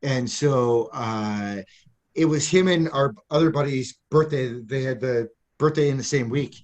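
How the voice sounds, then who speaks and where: A man from Canada tells stories in a relaxed podcast chat. His voice sits in the middle range, and he is animated and quick to laugh as he talks. He often restarts his sentences. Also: mid-range voice. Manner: animated storyteller, laughs mid-story, frequent restarts. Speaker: guest journalist. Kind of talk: casual storytelling chat.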